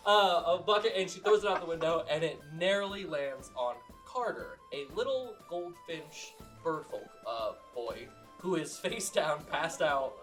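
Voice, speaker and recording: A man's voice: on the high side, expressive, a little slow, hardly any pauses, fairly bright tone, faint music behind. Laughing man running a tabletop game. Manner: laughing, loose, full of fillers. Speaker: game master.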